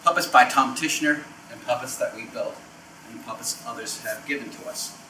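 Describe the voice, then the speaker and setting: A man's voice: medium pitch, normal speed, bright, forceful tone. A man hosting an informal puppeteer's show-and-tell tour, talking off the cuff with theatrical showman phrasing and emphatic repeats. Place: indoors, backstage and storage rooms of a puppet theater. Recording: echoey, distant mic with background noise.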